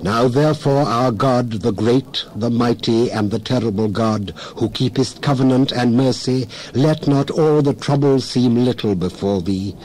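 A man reading scripture aloud as a dramatized narration, in solemn, measured phrases. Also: mid-range voice, a little mellow, rough recording.

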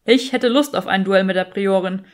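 A woman's voice: medium pitch, moderate pace, natural voice. A woman voicing a sardonic, vain young male wizard in an audiobook, with a dry, snooty manner.

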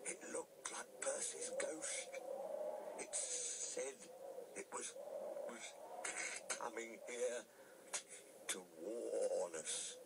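Man as an earnest male tram engine, urgent, mock-frightened, animated intonation, storybook, background noise. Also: fairly high pitch, fast talker, hardly any pauses, voice pushed up. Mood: feigned fright.

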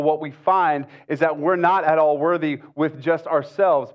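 A middle-aged man with an American accent preaches in a casual, conversational way. His voice sits a little high for a man, and he leans on repetition and rhetorical asides. The recording is slightly dull. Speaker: pastor. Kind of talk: conversational sermon. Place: stage of a church auditorium.

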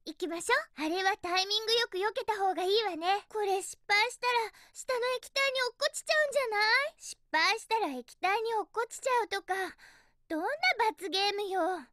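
Very high, perky cartoon hedgehog girl. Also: brisk, no echo, clean studio sound. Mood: perky, determined. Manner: perky, girlish, expressive. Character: cheerful, feisty young female cartoon hedgehog. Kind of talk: Japanese video game voice acting.

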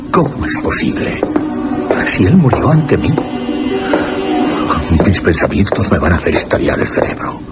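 A tormented gentleman in Spanish radio horror theatre. His low Castilian voice swings wildly in pitch as he talks to himself in agitation, over prominent music. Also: big pitch swings, measured pace, long pauses. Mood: frantic, anguished. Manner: theatrical, agitated self-talk. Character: anguished young gentleman tormented by a vampire's return.

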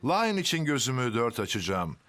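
An actor playing a calm, kindly, dependable fireman in a children's cartoon dub. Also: mid-range voice, fairly quick, loud music behind the voice, close to an everyday voice. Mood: calm, reassuring.

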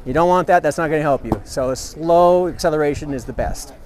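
A man's voice: fairly high pitch, fairly quick, darkish tone, a little hiss or hum. A man in his thirties giving an eager, hands-on product demonstration at an outdoor expo booth, recorded on a camera mic a few feet away. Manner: enthusiastic, explanatory, hands-on demonstrating. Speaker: product representative.

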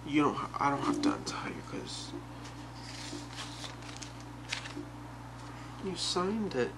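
A young man playing an obsessive, calmly menacing boyfriend in a halting, soft, half-whispered ASMR role play. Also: a little high. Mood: possessive, calm. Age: young adult. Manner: halting, soft-spoken, unhurried. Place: small bedroom, indoors. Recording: very noisy webcam-style home recording.

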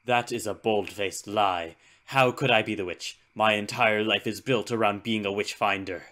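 A man voicing a stern, self-righteous witchfinder in an animated drama, commanding and formal. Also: fairly low pitch, normal speed. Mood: stern, indignant.

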